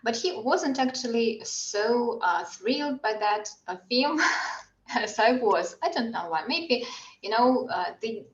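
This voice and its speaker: A woman whose first language is Russian, chatting in English in a reflective way and pausing to search for words. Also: a little high, expressive. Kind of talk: casual group chat in English. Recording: roomy, slightly dull online call.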